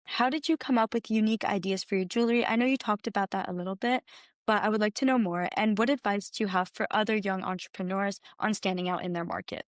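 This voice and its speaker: A woman's voice: medium pitch, fairly even intonation, fast talker, no room sound, clean studio sound. A woman conducting an interview, asking prepared questions in a conversational exchange.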